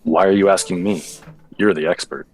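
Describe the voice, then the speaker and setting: An actor playing a bitter, boozy, clueless android-hunting detective in a noir radio play, with a hard-boiled, melodramatic deadpan. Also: male voice, deep voice, moderate pace. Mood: clueless, earnest.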